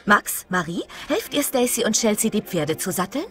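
This is a woman acting the gracious head of a riding academy in an animated film. She sounds patient and authoritative at a medium pitch, with very varied intonation. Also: moderate pace, faint music behind, natural voice. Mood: patient, businesslike.

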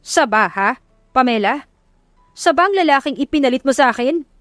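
A woman's voice: sits high, lively intonation, moderate pace, a bit bright, no room sound, soft background music, pitched above the performer's own voice. A woman voicing a furious, drunk, jealous and bullying boyfriend in an audiobook drama, accusing and domineering. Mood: angry, threatening.

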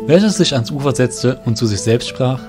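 A man's voice: medium pitch, lively intonation, moderate pace. A man narrating a scripted fantasy audio drama as its storyteller. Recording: music behind the voice.

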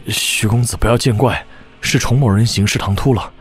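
An actor playing a scheming young aristocrat in a Chinese martial-arts audio drama, formal, calculating and ingratiating. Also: male voice, on the low side, normal speed, slightly rough, faint music behind. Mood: ingratiating, anxious.

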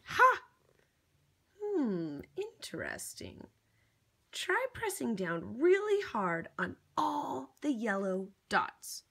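Female children's storybook reader, high voice, very slow delivery, theatrical and encouraging, talks straight to the child. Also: huge pitch swings, gravelly, a bit bright, husky. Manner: theatrical, with exaggerated gasps and exclamations; encouraging, coaxing, addressing the child listener. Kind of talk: interactive picture-book read-aloud for young children.

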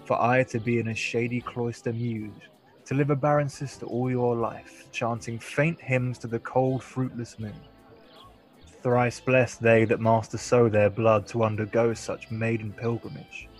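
A man's voice: mid-range voice, little pitch movement, a little slow, soft background music, close to an everyday voice, poor audio. A man acting a noble, commanding duke in a Shakespearean radio play, stately and declamatory. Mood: grave, advising.

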